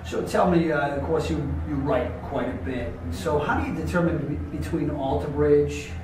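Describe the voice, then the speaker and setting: Interviewer: a man with a slightly high voice, eager and friendly, recorded from afar in an echoey, noisy space. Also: fairly even intonation, moderate pace. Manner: friendly, enthusiastic questioner. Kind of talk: casual interview questions. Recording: distant echoey noisy room.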